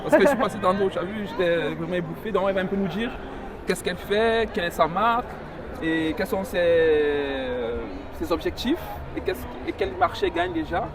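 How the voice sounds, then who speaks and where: A man's voice: high voice, normal speed, a crowd in the background. A man hosting a casual, conversational interview, often echoing back what he hears. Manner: repeats and echoes the guest's answers. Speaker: interviewer and host.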